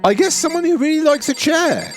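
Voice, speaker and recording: Male gaming host, casual commentary, lively swings in pitch, light music behind. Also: brisk. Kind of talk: casual let's play commentary.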